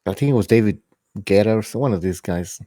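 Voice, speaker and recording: A man co-hosting a casual podcast talks hesitantly, full of "uh" and "like". He has a lowish voice and sounds dry and close to the mic. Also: quick pace, dark tone, no room sound. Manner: hesitant, lots of fillers. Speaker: podcast co-host. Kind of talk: casual talk show chat.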